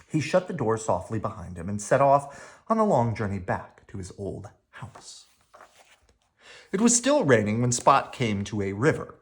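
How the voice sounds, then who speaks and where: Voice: mid-range voice, sing-song, moderate pace. A storyteller reading a children's picture book aloud in a dramatic, playful manner. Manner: dramatic, with playful sound words. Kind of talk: animated read-aloud storytelling.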